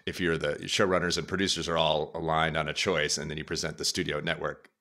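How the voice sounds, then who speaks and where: Middle-aged male podcast host, very low pitch, bright and forward tone. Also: level tone, fast. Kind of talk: casual podcast conversation. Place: room at home. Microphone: large podcast microphone, headphones.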